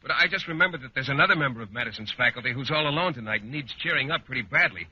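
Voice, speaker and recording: An actor playing an earnest, kindly teacher in a vintage radio comedy. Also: male voice, mid-range voice, lively intonation, rapid-fire, bright, forceful tone, slightly rough, no echo, heavily acted. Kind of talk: 1940s-50s radio comedy acting. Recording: muffled vintage radio recording.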